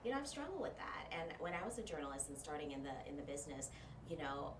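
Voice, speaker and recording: A woman's voice: low voice, quick pace. A woman from Thailand, a journalist and media trainer, chatting casually as a guest in an interview and talking with lots of fillers. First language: Thai. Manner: animated, chatty, many fillers. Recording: roomy, distant mic, noisy.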